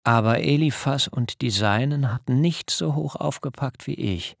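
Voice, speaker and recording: An actor playing a soft, dignified patriarch who recalls his humiliation in solemn, measured words for a radio drama. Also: medium pitch, vocal fry, no echo, clean studio sound. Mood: grave, haunted. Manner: solemn, measured first-person recollection. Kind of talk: literary radio drama monologue.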